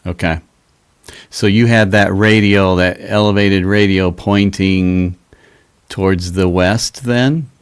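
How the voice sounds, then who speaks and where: Low-pitched man, clean dry sound. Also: steady tone, takes it slowly, clear, resonant tone, dry recording. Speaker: livestream host. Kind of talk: casual interview on a livestream. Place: live video stream.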